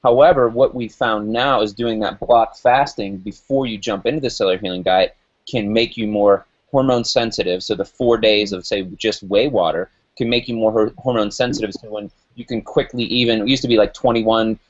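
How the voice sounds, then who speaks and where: A man's voice: lowish voice, fairly even intonation, normal speed, dull audio. A man in his late thirties co-hosting a health show, talking in a chatty, loose and rambling way. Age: 30s. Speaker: co-host. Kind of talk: casual chat.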